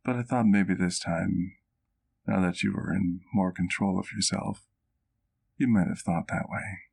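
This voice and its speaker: A scholarly male vampire acted by a man with a very low, gravelly voice. He speaks in formal, measured, genteel phrases, as a caring doctor and keeper talking closely to the listener in an ASMR roleplay. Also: steady tone, darkish tone, exaggerated voice. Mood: reflective storytelling. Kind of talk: ASMR roleplay, intimate one-sided dialogue to the listener.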